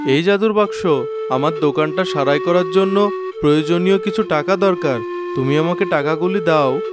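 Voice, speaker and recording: A man's voice: fairly high pitch, normal speed, over loud music, close to an everyday voice. A man playing an earnest poor village shopkeeper and husband in a cartoon. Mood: requesting. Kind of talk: cartoon character dialogue.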